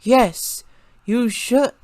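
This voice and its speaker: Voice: mid-range voice, very slow, darkish tone, no echo, pitched above the performer's own voice. A performer voicing a frank, chatty, friendly girl who explains things matter-of-factly in a story reading. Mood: pointed.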